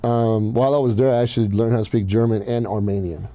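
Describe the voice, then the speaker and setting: A man's voice: on the low side, steady tone, fast, dark tone. A man from Iran telling personal stories casually as a podcast host, blunt and swearing freely.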